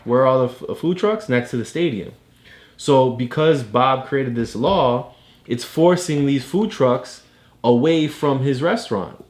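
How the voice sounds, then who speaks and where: A man's voice: mid-range voice, measured pace, darkish tone. A man patiently explaining things to a child as a father during a read-aloud, teaching with questions and examples. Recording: roomy, echoey home recording.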